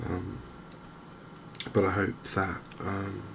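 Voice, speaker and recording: A softly spoken man giving hesitant, unhurried ASMR-style commentary over a video game. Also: low voice, completely flat delivery. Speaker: gaming video host. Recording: clear game ambience noise behind voice. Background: outdoor wildlife ambience from a fishing game.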